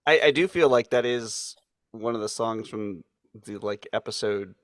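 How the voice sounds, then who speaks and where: A man's voice: on the low side, normal speed, fairly bright tone, no room sound, studio quality. A man co-hosting a podcast in casual chat, stumbling over his words with many fillers and restarts and laughing often. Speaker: podcast co-host.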